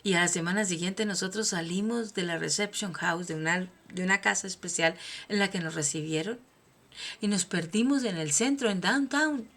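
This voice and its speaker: A woman's voice: fairly low pitch, normal speed. Emphatic Colombian woman speaker. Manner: emphatic, preaching, swings from soft to loud. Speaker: host, motivational speaker. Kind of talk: personal motivational monologue.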